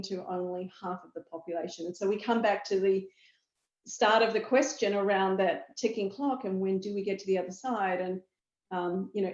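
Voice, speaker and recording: A woman's voice: on the low side, darkish tone. Australian woman in a muffled, echoey room. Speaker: pharmaceutical chief medical officer, expert guest. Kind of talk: explanatory discussion.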